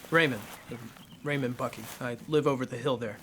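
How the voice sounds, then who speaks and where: A man acting a young country husband in an audio drama. His voice is bright and mid-pitched, plain and concerned. Faint noise sits behind him. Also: fairly quick, close to an everyday voice. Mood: curious, concerned.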